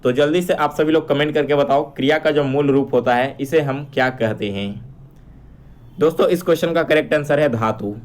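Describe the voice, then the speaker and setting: Man teaching a quiz lesson. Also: medium pitch. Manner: instructive, addresses viewers directly, repeats and explains each question. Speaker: online exam-prep teacher.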